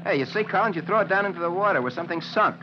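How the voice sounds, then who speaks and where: An actor playing a chatty, down-to-earth firefighter sidekick with colloquial working-class talk in an old-time radio drama. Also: male voice, sits high, lively intonation, rapid-fire. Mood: excited. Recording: dull, noisy vintage radio recording.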